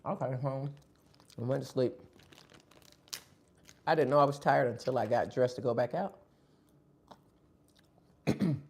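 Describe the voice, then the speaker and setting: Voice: mid-range voice, measured pace, gravelly, pauses often, a little mellow, husky. A live-stream host chatting casually between bites of food, sniffling. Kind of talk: casual live-stream chat while eating.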